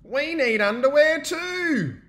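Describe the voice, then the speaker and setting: A man voicing impatient, excitable little forest animals from a storybook, in shrill exclamations. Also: slow, exaggerated voice. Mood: excited.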